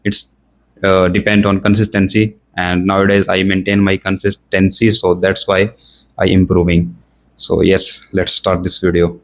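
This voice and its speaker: A young man from India with an Indian English accent, a learner practicing spoken English, pausing often and searching for words. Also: deep voice, level tone. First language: Hindi or another Indian language. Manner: hesitant, searching for words, many fillers. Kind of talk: casual practice conversation.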